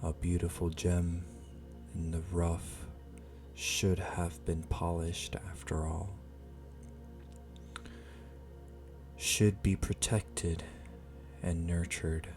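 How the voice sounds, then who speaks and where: A man's voice: sits low, level tone, takes it slowly, a little mellow, soft background music. A man playing an ancient, powerful dragon in an ASMR roleplay, speaking formally in an intimate, teasing, condescending and possessive manner. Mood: possessive, tender. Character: ancient male dragon familiar, powerful, possessive, amused, seductive.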